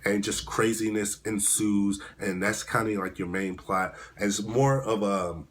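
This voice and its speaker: Hoarse low-voiced man reviewing a movie. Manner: rambling, halting, many restarts. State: hoarse, voice at risk of cracking. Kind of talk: casual spoken movie review.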